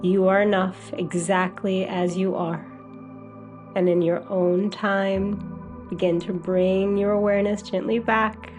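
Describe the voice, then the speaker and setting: A woman guiding a meditation as a hypnotherapist, with a soothing, gentle, unhurried delivery. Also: medium pitch, level tone, soft background music. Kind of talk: guided meditation.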